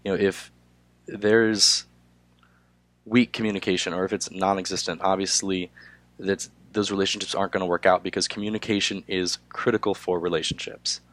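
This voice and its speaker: A young man, hoarse from a cold, giving a class presentation with frequent "uh" fillers. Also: sits low, level tone, fairly bright tone. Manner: halting, many filler "uh"s. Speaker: student presenter.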